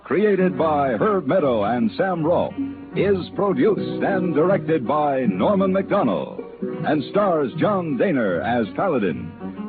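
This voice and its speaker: A male radio announcer reads in vintage broadcast style, his mid-range voice swinging widely in pitch. Music plays clearly behind him on a muffled, narrow old recording.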